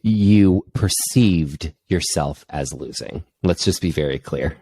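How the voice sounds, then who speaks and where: A male host runs a coaching interview and speaks directly, breaking in to pin down details. His voice is very low and mellow. The sound is dry and close. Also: takes it slowly, no echo.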